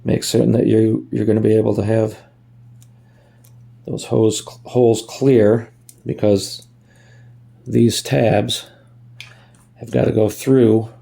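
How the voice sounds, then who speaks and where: A man's voice: lowish voice, creaky, dark tone. A man giving a casual hands-on tutorial in a folksy way, thinking aloud as he works, recorded on a phone. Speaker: amateur DIY video maker.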